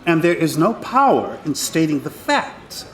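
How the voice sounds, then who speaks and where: A man's voice: fairly high pitch, sing-song, unhurried, roomy sound. An actor playing a pragmatic American congressman in an audio drama, lecturing insistently with an American accent. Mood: cautioning. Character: pragmatic, cautioning male congressman, seasoned political insider.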